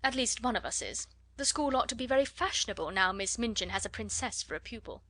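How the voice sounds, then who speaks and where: A woman's voice: medium pitch, animated, brisk, pushed and bright. A woman voicing a spiteful, bossy schoolgirl with a mocking tone. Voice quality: mocking, scornful.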